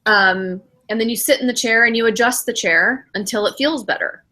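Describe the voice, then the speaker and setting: A woman's voice: a little high, moderate pace, bright, forceful tone, rough recording. A middle-aged woman, a spiritual director hosting a casual podcast chat, reflective and acting out her own inner thoughts as she talks.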